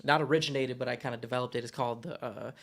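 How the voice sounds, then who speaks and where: Young man in his twenties, soft voice, rapid casual delivery with restarts, guest on a live-streamed talk show. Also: medium pitch, fairly even intonation. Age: 20s. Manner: quick, repeats words, peppers speech with "you know what I'm saying". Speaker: guest beatboxer. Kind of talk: casual interview chat. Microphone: live stream call.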